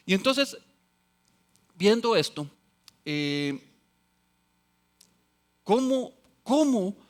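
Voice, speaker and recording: High-voiced man preaching a sermon. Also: vocal fry. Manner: swings between loud exclamations and quiet, low asides; quotes scripture and acts out voices of others. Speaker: pastor.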